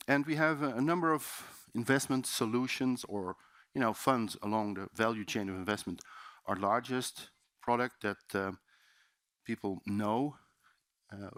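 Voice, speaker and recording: A man's voice: mid-range voice, fairly bright tone, no echo. A man with a Dutch accent giving a loose, chatty seminar presentation in a conference room, with many fillers and restarts. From Netherlands. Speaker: conference speaker.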